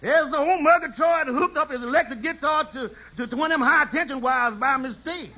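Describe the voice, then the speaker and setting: An actor playing an excitable, girl-chasing shady lawyer in an old radio sitcom, spinning tall tales with folksy grammar and chuckles. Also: very high voice, a little fast. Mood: animated storytelling. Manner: chuckles through his stories, folksy nonstandard grammar. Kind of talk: 1950s radio sitcom acting. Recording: muffled, noisy old radio recording.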